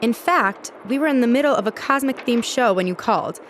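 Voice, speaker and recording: A woman's voice: a little high, lively intonation, fairly quick, a bit bright, slight background noise. A woman playing an upbeat local radio host in a radio drama styled as a live broadcast. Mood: intrigued, chatty.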